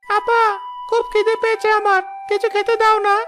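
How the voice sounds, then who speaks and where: An actor plays a hungry little boy in a cartoon story. The voice is very high and childlike, pleading and insistent with an older sister. Music plays clearly behind it. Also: level tone, moderate pace. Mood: hungry whining.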